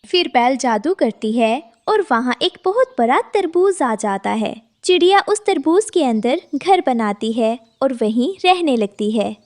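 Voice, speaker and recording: A woman's voice: high voice, lively intonation, a little slow, hardly any pauses, darkish tone, no room sound. A woman narrating a scripted children's story as the storyteller.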